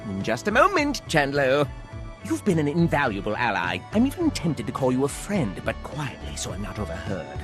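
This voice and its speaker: A man's voice: mid-range voice, huge pitch swings, moderate pace, fairly bright tone, faint music behind. A man voicing a paranoid, formal-speaking creature engineer in a video game, with a theatrical, old-fashioned manner. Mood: earnest. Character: paranoid fussy male creature engineer, secretive.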